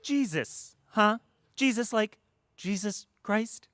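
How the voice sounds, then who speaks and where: A woman plays a bewildered, newly dead mortal in a radio play. The delivery is flustered and indignant, stumbling over words and leaping up and down in pitch, in a close, dry recording. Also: animated, slow pace, fairly bright tone, no echo. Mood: indignant, disbelieving. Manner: flustered, stammering, disbelieving. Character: bewildered, indignant human who has just died. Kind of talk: radio drama acting.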